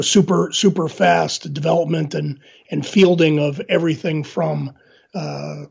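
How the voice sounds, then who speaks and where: A middle-aged man with a hoarse, raspy, gravelly voice, talking slowly through many fillers. His voice sits a little high for a man, and the dull, lo-fi line muffles it. He speaks in a casual interview. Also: a little mellow. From Northern Virginia, USA. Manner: halting, many "uh" fillers and restarts. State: hoarse from illness. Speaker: guest.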